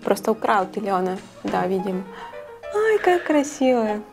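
A woman's voice: medium pitch, sing-song, a little slow, darkish tone. A young woman chatting casually as she reacts to a cartoon she is watching. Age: young adult. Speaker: reaction show participant. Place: indoor studio set, seated at a table. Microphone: clip-on lapel mic. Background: cartoon soundtrack music.

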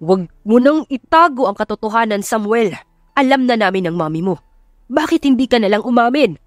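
A woman's voice: a little high, lively intonation, fairly quick, no room sound, studio quality, soft background music, pitched above the performer's own voice, natural voice. Woman voicing a stern, betrayed, angry father. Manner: stern, accusing, mixing English into Tagalog. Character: angry, betrayed wealthy father confronting his son. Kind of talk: audiobook romance drama acting.

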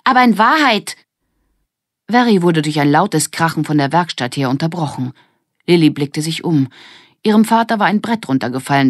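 A woman's voice: sits low, darkish tone, no room sound, studio quality. A woman narrating a children's audiobook in a scripted storytelling style.

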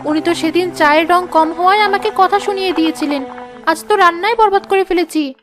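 Casting: anxious young cartoon housewife played by a woman, very high voice, timid and fretful, music underneath. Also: a little fast. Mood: panicked, worried. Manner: fretful, self-doubting. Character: anxious young daughter-in-law, timid new cook. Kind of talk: cartoon story voice acting.

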